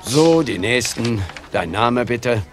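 A man's voice: mid-range voice, expressive, slow, over music. A man playing a good-natured, matter-of-fact casting show official in an audio drama. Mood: brisk, friendly.

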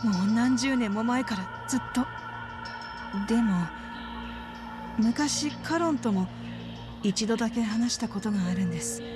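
An earnest middle-aged caretaker acted by a woman in a dramatic game scene. Her voice sits fairly high and she speaks in polite, measured phrases with a troubled weight, over a clear music score. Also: close to an everyday voice. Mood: troubled, confiding. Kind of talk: dramatic game cutscene acting.

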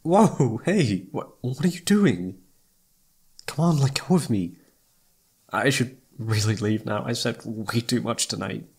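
A man playing a self-doubting, lovestruck best friend in an intimate ASMR roleplay, speaking softly and haltingly with tender hesitation. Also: mid-range voice, lively intonation, husky. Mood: flustered. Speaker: amateur voice actor.

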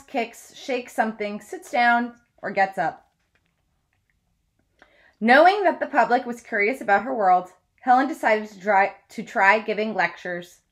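Measured woman reading aloud. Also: a little high. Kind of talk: read-aloud of a children's biography. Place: small room at home, indoors.

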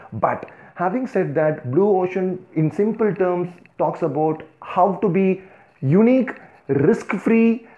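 Indian man lecturing over a tinny line. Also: fairly high pitch. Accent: Indian (Tamil). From Tamil Nadu, India. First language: Tamil. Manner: thinking aloud with frequent "uh" and "you know", restarts and emphatic lists. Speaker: organization founder giving a talk. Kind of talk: free-flowing lecture over slides. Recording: tinny phone-like audio.

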